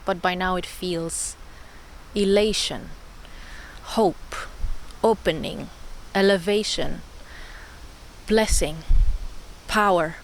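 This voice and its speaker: A young woman hosting a spiritual vlog, talking warmly and encouragingly, repeating words and laughing often. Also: on the low side, takes it slowly, long pauses, slight background noise, poor audio. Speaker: spiritual channel host. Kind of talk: personal spiritual vlog monologue.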